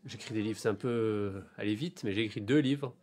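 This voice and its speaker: A man in his forties from France, an author talking about his books in a bookshop interview, thoughtful and hesitant, with many pauses and fillers. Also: fairly low pitch, darkish tone. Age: 40s.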